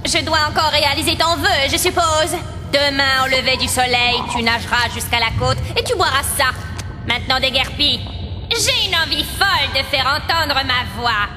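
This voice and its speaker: A greedy, mocking sea witch acted by a woman speaks high, inside a long cavernous reverb. She is theatrical and menacing, taunting in a cartoon fairy-tale manner, with music prominent underneath. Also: moderate pace, close to an everyday voice. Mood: gloating, dismissive. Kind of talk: dubbed animated fairy-tale acting.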